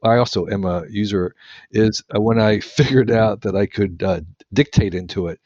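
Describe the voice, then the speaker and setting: A man co-hosting a podcast, talking casually in halting phrases and repeating words as he goes. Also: lowish voice, a little mellow, dry recording, lo-fi sound. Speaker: podcast co-host. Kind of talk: casual interview chat.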